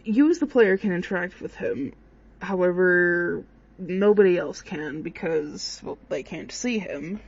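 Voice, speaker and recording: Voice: medium pitch, measured pace. A person giving casual, hesitant, rambling commentary on horror games in an informal way. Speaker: video creator, commentator. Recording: dull lo-fi microphone sound.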